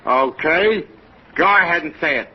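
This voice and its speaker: An American man playing a gruff, belligerent waiter who talks in menacing slang, in a 1940s radio comedy. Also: a little high, expressive, moderate pace, natural voice. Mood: surly, threatening. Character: belligerent tough-guy waiter, quick to threaten punches. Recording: muffled, noisy old radio recording.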